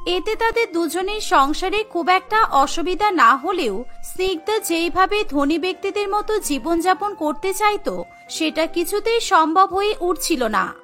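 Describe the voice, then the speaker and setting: Very high, bright woman story narrator. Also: animated, measured pace, faint music behind. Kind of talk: scripted cartoon story narration.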